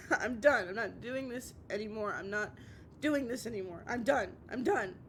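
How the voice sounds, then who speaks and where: A woman playing a drunk, heartbroken, obsessive young lover in an intimate audio roleplay, tearful and halting, stammering and repeating herself. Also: on the high side, slight background noise, natural voice. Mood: tearful, firm.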